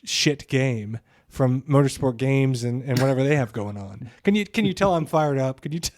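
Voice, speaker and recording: A man's voice: mid-range voice, normal speed, a little mellow, no room sound, studio quality. A man co-hosting a podcast with casual, opinionated commentary, emphatic, repeating phrases for stress and swearing freely. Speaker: podcast co-host.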